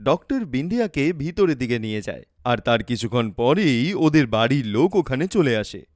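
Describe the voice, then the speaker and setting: A man's voice: medium pitch, sing-song, normal speed, darkish tone, dry recording, studio quality. A man narrating a scripted cartoon story as the narrator.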